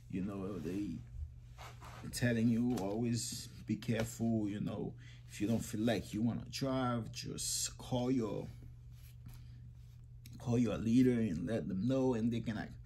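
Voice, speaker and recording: A man's voice: fairly low pitch, a little mellow, a little hiss or hum. A young man in his twenties talking casually to the camera from a small room, rambling and repeating himself as he addresses viewers as guys. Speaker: trucking vlogger.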